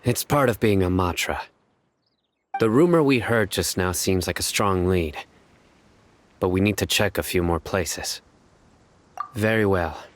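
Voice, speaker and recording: A man playing a stern, terse young investigator who reasons out clues in short measured lines. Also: low voice, a little hiss or hum. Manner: curt, measured, deductive. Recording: game cutscene with music behind.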